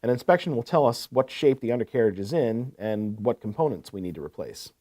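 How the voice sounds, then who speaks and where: A man's voice: medium pitch, expressive, a little fast, dark tone, lightly acted. A man playing a courteous, attentive equipment salesman in a scripted business phone call, asking probing questions. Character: courteous, eager male heavy-equipment salesman.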